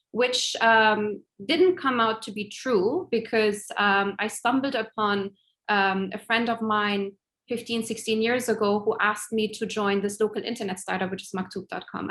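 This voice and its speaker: A woman's voice: medium pitch, fairly bright tone. A woman of Lebanese origin who grew up in Germany, answering interview questions as a guest in a fluent, friendly conversational way. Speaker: guest, tech executive.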